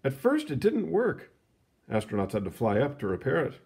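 A man reading a children's science book aloud in an expressive, explanatory storytelling manner. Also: mid-range voice, normal speed, vocal fry, slightly rough. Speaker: read-aloud narrator.

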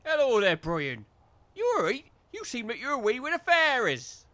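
A man's voice: very high-pitched, wildly swooping pitch, moderate pace, bright, forceful tone, dry recording, full character voice. A man playing a chummy, cajoling village labourer and chancer who speaks in rustic dialect, in a comedy audio drama. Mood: eager.

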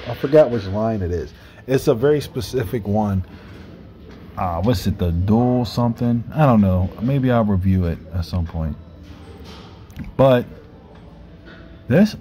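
A man's voice: fairly low pitch, warm tone. A man in his thirties vlogging about his workout, with loose, self-correcting explanations, filmed on a phone camera. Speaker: fitness vlogger. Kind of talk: casual gym vlog talk. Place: gym indoors, then outdoors by trees. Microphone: phone camera propped up, then held at arm's length. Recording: faint background noise.

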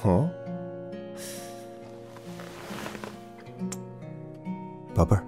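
A man playing a teasing, possessive, somewhat gruff young boyfriend in an audio drama. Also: very fast, over music, natural voice. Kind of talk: Chinese audio drama acting.

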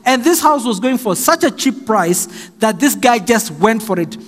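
A middle-aged Kenyan man preaching a slow, emphatic sermon from a pulpit stand mic in a large church hall. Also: very high voice, lively intonation, fairly bright tone. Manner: slow and emphatic, raising his voice for stress. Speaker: pastor. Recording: long hall reverb, faint music.

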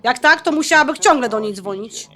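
High-voiced, feisty bride-to-be, acted by a woman. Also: animated, a little fast, bright, forceful tone. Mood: annoyed, sarcastic. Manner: sarcastic, sassy. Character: feisty Polish-American bride-to-be, quick-tempered and sarcastic. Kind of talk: audio drama acting, Polish mixed with English slang.

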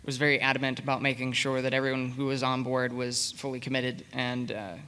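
A man speaking unscripted as a panelist, with a hesitant, halting delivery. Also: medium pitch, completely flat delivery, clear, resonant tone. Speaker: panelist, project leader. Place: convention panel room with audience. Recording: reverberant hall, bright sound.

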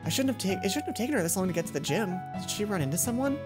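A woman's voice: medium pitch, sing-song, rapid-fire. A young adult woman reading a visual novel aloud as a let's play host, speaking into a headset in a room. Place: indoor room, seated at a computer. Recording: background game music.

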